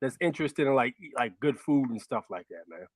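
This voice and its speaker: A man's voice: mid-range voice, lively intonation, fairly quick, no echo, studio quality. A man hosting a casual chat, laid-back and slangy, swearing freely. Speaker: host.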